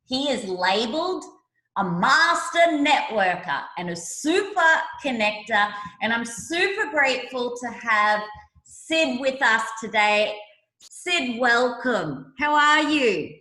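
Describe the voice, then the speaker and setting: High-voiced, slow-paced woman podcast host. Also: sing-song, sharp tone. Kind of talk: enthusiastic podcast interviewing. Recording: roomy, echoey sound.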